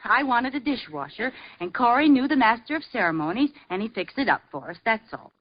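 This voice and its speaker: An actress playing a playful, scatterbrained wife, in 1940s radio comedy style. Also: female voice, a little high, sing-song, fairly quick. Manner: giggly, teasing. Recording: dull lo-fi radio recording with loud hiss.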